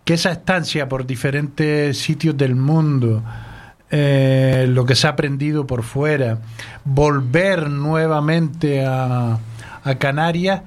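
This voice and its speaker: A man interviewing a guest, asking long questions with many pauses and restarts. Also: medium pitch, steady tone. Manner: hesitant, with many fillers and restarts.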